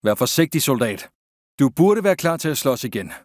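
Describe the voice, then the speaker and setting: A man voicing a reassuring army surgeon in a video game, with measured, soothing delivery. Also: medium pitch, sing-song, no room sound, studio quality, close to an everyday voice. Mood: calm, reassuring. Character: calm, reassuring male army surgeon tending wounded soldiers. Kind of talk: video game character voicelines.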